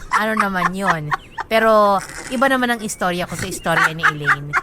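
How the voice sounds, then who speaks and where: A woman's voice: medium pitch, fairly quick, heavy background noise. A woman hosting a radio advice segment in casual, playful banter, joking and switching between languages. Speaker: radio show host giving advice.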